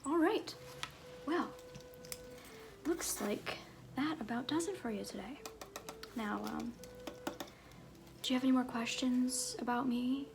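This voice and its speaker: A woman playing a flirty, glib circus fool in an ASMR roleplay, half-whispering with halting, offhand, teasing asides. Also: high-pitched, vocal fry, background noise, full character voice. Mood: glib, reassuring. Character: flirty, glib circus fool and sham fortune-teller, playfully evasive.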